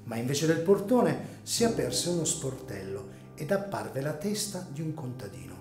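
A man narrating a dramatic story from a script in a measured, solemn, literary manner. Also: medium pitch, very animated, darkish tone. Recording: echoey room, music underneath.

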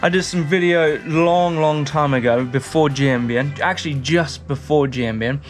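A man's voice: a little high, normal speed. A man in his thirties from the UK, presenting a casual workshop vlog, chatty and self-mocking as he thinks aloud while building, recorded on a head-mounted action camera in a garage. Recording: roomy garage echo with music behind.

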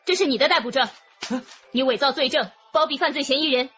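Crime radio drama: firm policewoman, high voice, fast official delivery. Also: pushed and bright, dull audio, soft background music. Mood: stern.

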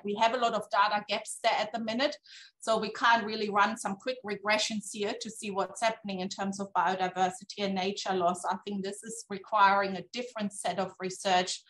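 A woman's voice: medium pitch, fairly bright tone, poor audio. Woman in her 30s giving a talk on a webcam call from her office, chatty, keeps saying right?. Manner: conversational, tags sentences with "right?". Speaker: keynote speaker. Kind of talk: academic talk over video call. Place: office with a poster on the wall. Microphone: laptop webcam on a video call.

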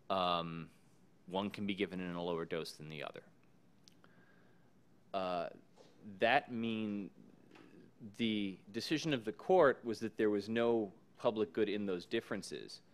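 Male panelist, forties, lowish voice, measured and thoughtful, podium mic in a meeting room. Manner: measured, thoughtful, with frequent ums. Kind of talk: panel discussion remarks. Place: conference room. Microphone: podium microphone.

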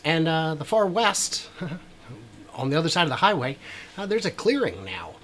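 A man's voice: a little high, sing-song, unhurried, a bit bright, slight background noise. A man in his forties giving casual, wry commentary over drone footage as a YouTube host, with frequent filler words. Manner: chatty, wry asides, many "uh" fillers. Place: small room with a brick wall.

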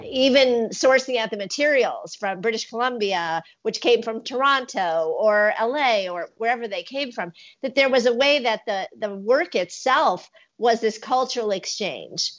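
A woman's voice: a little high, lively intonation, moderate pace, hardly any pauses. Reflective woman interviewing over dull video call. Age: middle-aged. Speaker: interviewer, arts curator. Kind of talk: conversational interview. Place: indoor room. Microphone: wired earphones on a video call.

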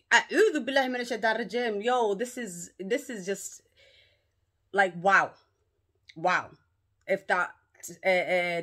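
A woman's voice: lowish voice, sharp tone. A young woman telling an emphatic, slangy story to her vlog audience. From London. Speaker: vlogger.

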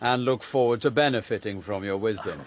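A man's voice: on the low side, a little fast, slight background noise, lightly acted. An actor playing a bold, devout crusader king in a historical radio drama, regal and assertive in manner. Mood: regal, pointed.

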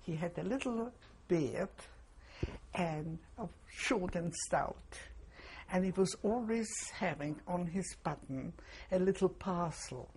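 Elderly woman, 80s, very low pitch, gravelly, slow, halting, faint background hiss. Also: animated, darkish tone. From Poland. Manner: slow, halting, pausing with fillers. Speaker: interviewee. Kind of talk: oral history testimony.

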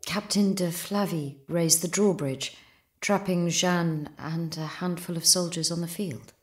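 An actress playing a grave, deliberate woman questioner in a medieval audio drama. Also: lowish voice, fairly even intonation, lightly acted.

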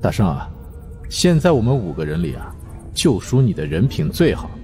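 A man's voice: lowish voice, very animated, moderate pace, warm tone, faint music behind. Man doing a sly boss, drenched in reverb. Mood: coaxing. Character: sly, bossy male team chief.

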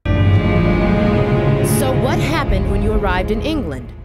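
A calm, inquisitive woman as a sceptical debriefer. Her medium-pitched voice is expressive yet measured, in video game style with music behind. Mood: calm, probing. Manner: measured, probing. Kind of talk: video game cutscene acting.

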